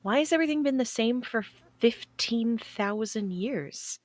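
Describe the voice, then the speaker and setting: A woman playing a naive, bewildered space probe with a halting, questioning way of talking in an audio drama. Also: on the high side, animated, no echo. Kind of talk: table read of a script, audio drama acting.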